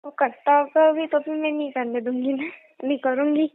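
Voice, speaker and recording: A young woman with a high voice talks in a private, casual phone chat. She is coy and keeps gently protesting and pleading. The sound is narrow and phone-like, with no room around it. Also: fairly even intonation, moderate pace, dry recording. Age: young adult. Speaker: girlfriend on a phone call. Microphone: phone call. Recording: muffled narrow-band phone call recording.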